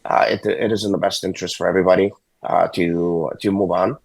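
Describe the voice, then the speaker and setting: A hoarse, sick man calls in from a hospital bed, his voice low and gravelly with a husky edge. He talks haltingly, full of fillers and restarts, and the sound is dry and close. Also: little pitch movement, no echo. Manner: halting, many uhs and restarts. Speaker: hockey agent guest. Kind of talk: phone-in interview. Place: hospital room. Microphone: phone call.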